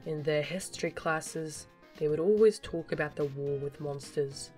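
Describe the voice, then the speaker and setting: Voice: medium pitch, steady tone, normal speed, darkish tone, soft background music. A narrator reading a scripted story for a fan audio drama.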